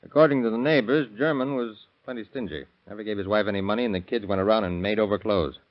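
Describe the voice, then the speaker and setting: An actor playing a diligent, plain-spoken sheriff's deputy, folksy and matter-of-fact, in a 1930s radio crime drama. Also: male voice, lowish voice, fast, natural voice. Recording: dull, muffled vintage radio recording.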